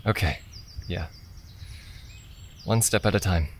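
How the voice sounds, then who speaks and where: A man's voice: low-pitched, slow pace, vocal fry, a little hiss or hum, heavily acted. A young man acting an anxious, self-doubting, earnest twin brother in an audio drama. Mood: dejected, unsure. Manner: hesitant, earnest.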